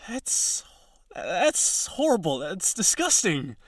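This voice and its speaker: A man's voice: high voice, wildly swooping pitch, no room sound, full character voice. A man acting an earnest, lovelorn harpy, dry close sound. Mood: shocked, upset. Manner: halting, stammering, pleading. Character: lovelorn young male harpy, earnest, insecure, tender. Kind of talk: intimate audio roleplay acting.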